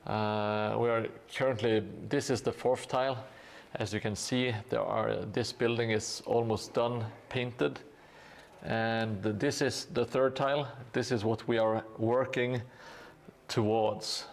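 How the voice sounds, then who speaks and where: A man chatting on a live stream as he paints, unhurried and easygoing. His voice has a gravelly fry, and he pauses often with little fillers and restarts. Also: mid-range voice. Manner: relaxed, hesitant with frequent fillers, thanks viewers. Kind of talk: casual live-stream chat while painting.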